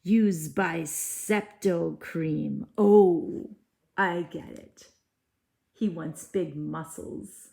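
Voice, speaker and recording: A woman teacher reading a storybook aloud to young children in an expressive, deliberate way, with simple asides. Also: on the low side, vocal fry. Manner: deliberate, animated, simple child-directed phrasing.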